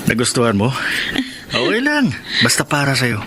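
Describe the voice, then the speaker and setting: A man's voice: mid-range voice, huge pitch swings, moderate pace, a bit bright, a little hiss or hum. Scheming young man, sharply swooping delivery. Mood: sweet, coaxing. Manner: persuasive, callous. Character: scheming young adopted son coaxing his sweetheart into murder. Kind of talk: Filipino radio drama acting.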